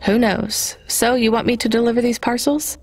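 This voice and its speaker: An actress playing a sceptical, independent young delivery driver in a video game, matter-of-fact and guarded. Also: female voice, fairly high pitch, flat delivery, moderate pace, a little mellow, slightly rough. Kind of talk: naturalistic video game dialogue.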